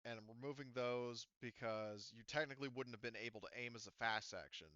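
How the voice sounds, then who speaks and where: A man's voice: medium pitch, fairly quick, pushed and bright, no echo, lo-fi sound. A man talking casually as game master, explaining tabletop game rules in a hesitant way, thinking aloud.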